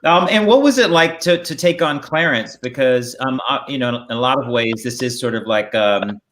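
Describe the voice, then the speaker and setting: Male interview host, medium pitch, casual and rambling, recorded on a slightly noisy video call. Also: moderate pace, fairly bright tone. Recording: video call with faint noise.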